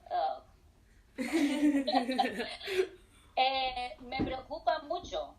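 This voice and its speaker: Slow-talking bilingual Colombian woman. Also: high-pitched, big pitch swings. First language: Spanish. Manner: switches between Spanish and English mid-sentence; hesitant, many fillers and restarts. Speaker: professor; interviewee. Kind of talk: casual interview answers.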